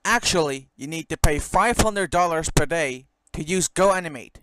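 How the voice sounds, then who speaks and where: A man's voice: on the high side, lively intonation, normal speed, fairly bright tone, smooth, no room sound. A man voicing a smug, bossy operating system assistant that taunts its user in a cartoon skit. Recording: heavy noise behind the voice.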